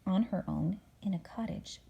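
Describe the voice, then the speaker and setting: A woman reading a storybook to a child as a mother, dramatic and expressive, dropping into hushed tones for suspense. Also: fairly low pitch, normal speed, vocal fry, muffled recording, slight background noise.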